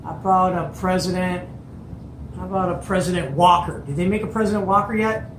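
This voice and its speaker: A man's voice: sits high, fairly even intonation, fairly quick, background noise. Folksy, enthusiastic man reviewing gear. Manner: enthusiastic, folksy, rambling with fillers. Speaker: host of a CB radio review channel. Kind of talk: casual product review and unboxing. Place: at home, filming handheld by himself.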